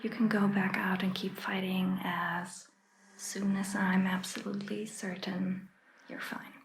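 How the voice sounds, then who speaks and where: A woman playing a calm, caring vampire doctor in a soft ASMR roleplay, whispering gently and reassuringly with long pauses. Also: mid-range voice, slight background noise, natural voice. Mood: gentle concern.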